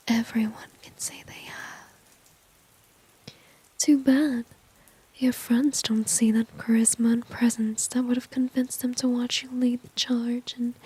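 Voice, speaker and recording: Breathy whispering flirty villainess played by a woman. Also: a little high, dark tone, heavily acted, rough recording. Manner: slow, coy, mocking, drawn-out teasing. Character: flirty, dominant female villain, teasing and condescending. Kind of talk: whispered ASMR roleplay.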